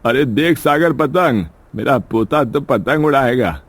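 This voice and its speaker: A man's voice: medium pitch, animated, unhurried, no room sound, pitched above the performer's own voice. A man voicing an affectionate old grandfather of a poor family in a moral story. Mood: fond.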